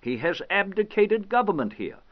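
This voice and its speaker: A man playing a stately, indignant 18th-century American statesman, reading a formal document aloud in measured, emphatic phrases. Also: high-pitched, sing-song, lo-fi sound. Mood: stern, indignant. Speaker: historical interpreter.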